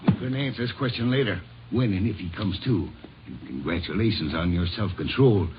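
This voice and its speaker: A man's voice: fairly low pitch, fairly quick, muffled recording, natural voice. Male actor as threatening bank robber, American, curt and sneering, radio crime drama, noisy vintage recording. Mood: impatient, sarcastic. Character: menacing sarcastic male bank robber in Santa suit. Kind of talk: old-time radio crime drama acting.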